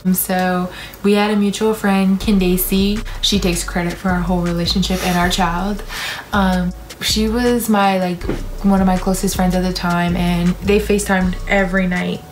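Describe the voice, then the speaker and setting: A woman's voice: lowish voice, little pitch movement, moderate pace, soft background music. A woman in her twenties, a young mother, chatting candidly and teasingly in a casual couple Q&A. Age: 20s. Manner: candid, teasing, says "like" a lot.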